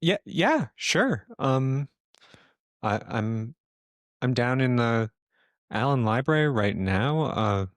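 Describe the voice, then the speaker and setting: A man voicing a hesitant, guarded university librarian woman taking a phone call in a tabletop game, speaking in a halting, tentative way. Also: medium pitch, lively intonation, no echo.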